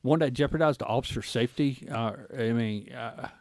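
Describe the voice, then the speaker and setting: Folksy middle-aged American man, medium pitch, dry. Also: a little fast, darkish tone, no room sound. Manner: folksy, colloquial, probing questions. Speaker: guest host, former law enforcement officer. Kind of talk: casual interview chat.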